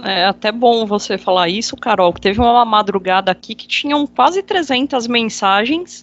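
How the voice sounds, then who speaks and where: A woman hosting a casual podcast chat, relaxed and jokey, swearing freely. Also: fairly high pitch. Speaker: podcast host. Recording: dull lo-fi sound with music behind.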